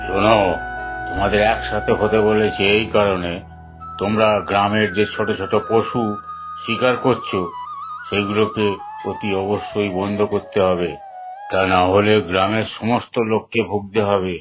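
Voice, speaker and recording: A man's voice: lowish voice, flat delivery, moderate pace, over music, close to an everyday voice. A man voicing a stern, earnest holy man in a cartoon, with a solemn, admonishing manner. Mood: stern warning. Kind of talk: Bengali cartoon dubbing.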